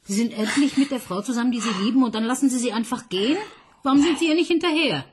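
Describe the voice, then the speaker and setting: Eine Schauspielerin spielt in einem Hörspiel eine Kriminalkommissarin, die bohrend und forsch verhört. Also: female voice, on the high side, noisy background, natural voice. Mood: probing. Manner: probing, brisk. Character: probing, no-nonsense female police inspector. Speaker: police detective. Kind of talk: radio play acting.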